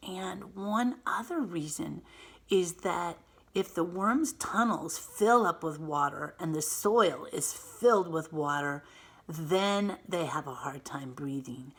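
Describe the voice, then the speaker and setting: A woman with a husky, fairly low voice reads a picture book aloud as a teacher. She takes her time, stressing words expressively and adding simple explanations for young children.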